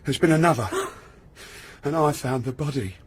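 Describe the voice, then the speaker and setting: A man's voice: a little high, normal speed, a little hiss or hum, natural voice. An actor playing a friendly, polite young male police detective in a radio drama. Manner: friendly, polite, slightly hesitant.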